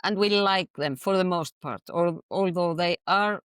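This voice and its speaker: A woman from Iceland, a guest chatting easily with many hesitant pauses. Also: lowish voice, smooth, dry recording, studio quality. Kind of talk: casual interview chat.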